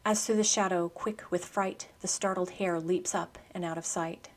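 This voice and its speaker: A woman with a slightly low voice presents a poetry program. She reads from a script, both commentary and verse, in a measured, literary manner. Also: fairly even intonation. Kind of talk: scripted narration and poetry reading.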